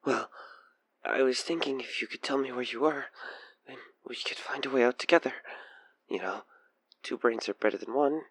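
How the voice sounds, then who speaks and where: A man playing an obsessed, manipulative killer who coaxes the listener in an intimate audio roleplay, with halting, breathy delivery that slips into whispers. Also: medium pitch, a bit bright, no echo. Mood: anxious, coaxing. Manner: hesitant, halting, breathy sighs and whispers sliding into menace. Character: obsessed male killer, coaxing and manipulative, feigning frightened innocence.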